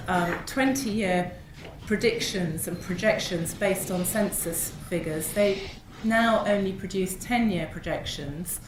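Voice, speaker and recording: A woman presenting at a panel debate, speaking in a measured, informative way with frequent ums while hoarse from a cold. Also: lowish voice. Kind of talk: conference presentation. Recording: echoey hall with noise.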